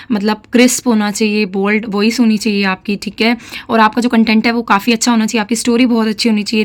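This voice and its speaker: A young woman with a slightly sore throat giving casual, chatty advice in a vlog and often checking in with her listeners. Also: on the high side. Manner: chatty, mixes English phrases, frequent "theek hai" check-ins. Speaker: defence exam aspirant vlogger.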